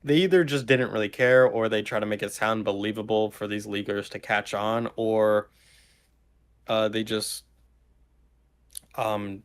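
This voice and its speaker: A man's voice: on the low side, creaky. A man talking casually as a panelist on a podcast. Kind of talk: casual chat.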